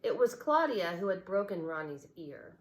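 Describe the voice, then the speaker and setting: A woman teacher reading a children's novel aloud, expressive and changing voices for the characters. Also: fairly low pitch, normal speed.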